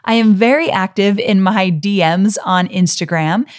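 A woman's voice: mid-range voice, unhurried. A middle-aged woman hosting a solo podcast, talking straight to her listeners in an encouraging, friendly way. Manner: warm, encouraging, direct address to listeners. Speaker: podcast host. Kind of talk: solo podcast teaching talk.

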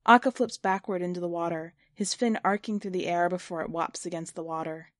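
A woman's voice: fairly low pitch, steady tone, fairly quick, no room sound, clean studio sound. A woman reading a first-person short story in literary audiobook style. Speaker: story narrator.

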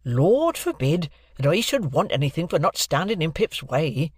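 A man's voice: a little high, sing-song, moderate pace, slightly rough, no echo, studio quality, pitched above the performer's own voice. A man doing a blunt, earnest country blacksmith. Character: plain, honest country blacksmith, middle-aged man.

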